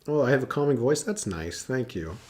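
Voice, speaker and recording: A man's voice: mid-range voice, very animated, brisk, darkish tone. A man hosting a live election analysis stream, chatting casually with many fillers as he thinks aloud and reacts to viewers. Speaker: political analyst hosting a livestream.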